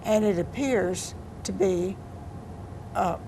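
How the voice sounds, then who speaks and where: An older woman poet answering interview questions in a soft-spoken, unhurried, plainspoken way. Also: medium pitch. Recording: noisy background.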